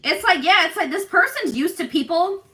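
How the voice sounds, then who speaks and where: A woman giving a casual tarot reading to her viewers, talking in a strong, assertive, loud way and often restarting her sentences with lots of "like.". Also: very high voice, animated, moderate pace, a little hiss or hum. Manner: chatty, repeats and restarts, many "like"s. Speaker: tarot reader.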